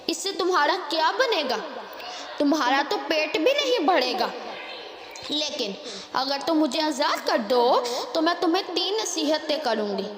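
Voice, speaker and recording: A woman voicing a clever little female sparrow who lectures formally in a children's moral storybook. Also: sits high. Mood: pleading, bargaining. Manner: admonishing, formal storybook speech. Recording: echoey hall-like reverb, crowd-like background noise.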